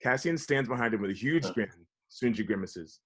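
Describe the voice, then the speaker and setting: A man's voice: mid-range voice, animated, fairly quick, fairly bright tone, slightly rough. A man reading out stage directions as narrator for a comedy script table read.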